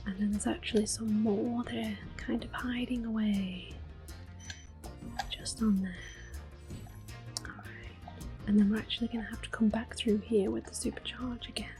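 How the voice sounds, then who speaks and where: A woman giving gentle, unhurried ASMR gameplay commentary in a soft, breathy whisper as she plays. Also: a little high, flat delivery. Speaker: gameplay streamer. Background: video game music.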